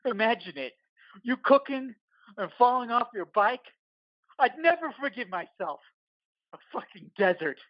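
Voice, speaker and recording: A man playing a wounded, hulking biker in an audio play, husky and bitter, swearing through gritted delivery. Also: extremely high pitch, expressive, unhurried, long pauses, no echo, dull audio, exaggerated voice. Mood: bitter, anguished. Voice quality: husky, rough. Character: wounded, hulking, foul-mouthed male biker, gruff and bitter.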